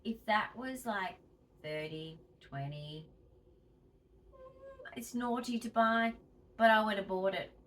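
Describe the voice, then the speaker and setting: A woman's voice: mid-range voice, slow pace, pauses often, fairly bright tone, recorded from a distance. An Australian woman chatting casually to her viewers in a home vlog, emphatic and chatty, and a bit wheezy. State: wheezy, tight-chested. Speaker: vlogger.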